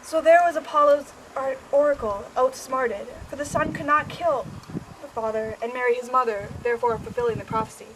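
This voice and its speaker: Pleading Greek queen for a puppet play, played by a young woman: high voice, recited lines, noisy recording. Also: fairly quick, close to an everyday voice. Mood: explaining. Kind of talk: amateur scripted puppet-show acting.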